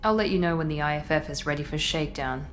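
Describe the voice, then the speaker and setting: An actress playing a businesslike officer who speaks in a poised, formal and persuasive way. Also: female voice, sits low, quick pace, a bit bright, a little hiss or hum. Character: cool, businesslike female officer of a secret organization. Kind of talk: video game cutscene acting. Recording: game audio with score.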